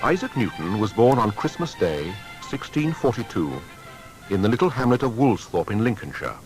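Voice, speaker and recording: A man narrating a scripted biography in a measured, formal and dramatic way. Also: very animated, over music, low-quality recording. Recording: faint background rumble and noise.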